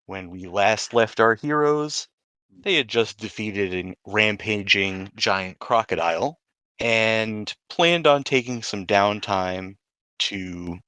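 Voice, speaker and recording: Male game master, lower register, narrating tabletop adventure, dry recording. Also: pushed and bright, no echo.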